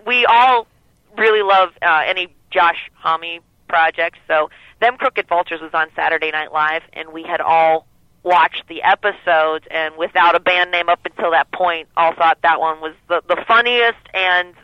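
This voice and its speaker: A lead singer with a lower voice talks over a dull, lo-fi phone line. She rambles easily, filling gaps with ums and you-knows. The sound is muffled, and the line is dry with no room echo. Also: unhurried. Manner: relaxed, rambling, many fillers. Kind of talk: phone interview.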